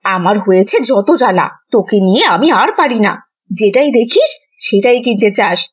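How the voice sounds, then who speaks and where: A woman's voice: mid-range voice, expressive, moderate pace, breathy edge. A woman voicing an exasperated village mother in a cartoon, with a scolding manner. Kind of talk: cartoon voice acting.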